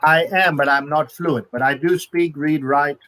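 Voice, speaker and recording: A man's voice: fairly high pitch, fairly even intonation, a little slow, pushed and bright. A man who is half Iraqi and half American hosts a studio discussion, speaking politely and adding brief agreeing remarks. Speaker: host.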